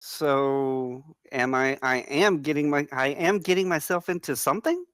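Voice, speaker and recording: A woman's voice: deep voice, unhurried, dry recording, clean studio sound. A woman playing a flirty, confident woman in her twenties at a screenplay table read. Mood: teasing.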